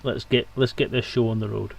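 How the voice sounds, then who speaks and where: A man with a mid-pitched voice hosts a livestream. He talks in a casual, conversational way, addressing both his co-guests and the viewers. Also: steady tone, brisk, creaky. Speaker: stream host. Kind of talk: casual stream chat.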